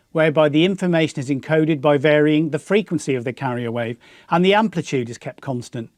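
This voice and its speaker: A man's voice: on the high side, a little mellow, dry recording, studio quality. A man with a British accent presenting a scripted explanatory piece as the host of a hobby channel. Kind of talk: scripted explanatory narration to viewers.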